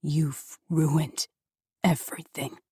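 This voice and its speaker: Resentful middle-aged dad, lowered and harsh, as read by a woman narrator, dry studio sound. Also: slow, husky, dry recording, full character voice. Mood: cold. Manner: shouting, then cold and flat. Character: angry cold middle-aged father, resentful.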